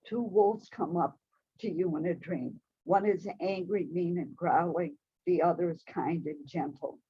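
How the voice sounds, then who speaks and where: A woman giving a gentle, measured spiritual talk to a church congregation. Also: fairly low pitch, husky, lo-fi sound. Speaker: church speaker. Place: church hall, also streamed to video call.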